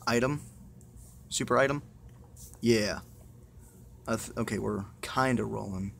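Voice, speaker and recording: A man's voice: on the low side, measured pace, vocal fry, a bit bright. A man giving casual, muttered let's-play commentary with exasperation and plenty of swearing. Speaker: gamer playing a horror game. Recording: noisy amateur phone screen recording.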